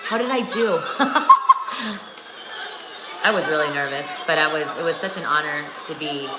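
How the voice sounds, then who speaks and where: Excitable female stream host, low voice, talks over noisy fight audio. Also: measured pace. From United States. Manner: chatty, excitable, talks back to viewers. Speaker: boxing show host. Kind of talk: casual live-stream chat over a fight broadcast. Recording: echoey room with loud fight broadcast behind. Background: televised boxing commentary and crowd.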